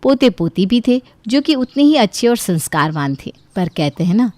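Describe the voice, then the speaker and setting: A woman reading scripted narration for an animated family drama as a storyteller. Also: medium pitch, moderate pace, dry recording.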